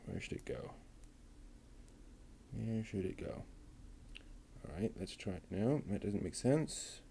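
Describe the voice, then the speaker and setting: A man talking himself through assembling a model kit, muttering and pausing between steps. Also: on the low side, expressive, gravelly, dark tone, slight background noise. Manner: slow, halting, with many pauses. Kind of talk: casual build narration.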